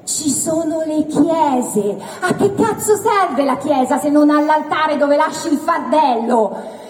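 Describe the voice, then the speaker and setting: A woman performing a provocative stand-up monologue in a mock-earnest, rhetorical manner. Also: high-pitched. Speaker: stand-up comedian. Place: live indoor theatre venue. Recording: echoey hall, distant mic, faint noise.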